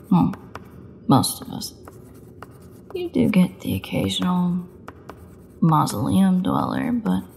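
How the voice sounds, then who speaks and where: A woman playing a defiant captive vampire in an audio drama, snarky, mocking and bitterly flippant, with a gravelly voice. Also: fairly low pitch, darkish tone, slight background noise, exaggerated voice. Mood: dry mockery. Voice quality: gravelly, creaky voice. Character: defiant, sarcastic captive female vampire under interrogation. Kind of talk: dramatic audio roleplay monologue.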